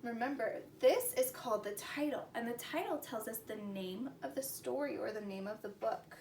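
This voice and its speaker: A woman's voice: on the high side, animated, moderate pace, a little mellow. A woman hosting a preschool story time, talking to young children in a sing-song teacher's manner with simple questions. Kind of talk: children's lesson and read-aloud. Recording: roomy, distant mic with faint noise.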